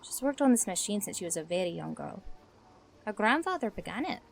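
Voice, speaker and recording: A woman playing an earnest, protective companion in a fantasy audio drama, quietly explaining. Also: medium pitch, very animated, fairly quick, vocal fry. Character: earnest woman companion gently defending her friend. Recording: faint background noise.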